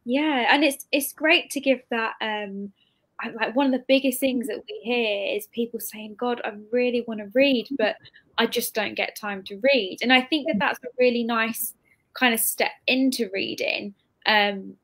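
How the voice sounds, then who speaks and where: A woman's voice: a little high, normal speed, fairly bright tone, poor audio. A woman in her twenties hosting a casual live interview over a video call, chatty and effusive. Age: 20s. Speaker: interviewer, bookshop event host. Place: indoor room at home, on a video call.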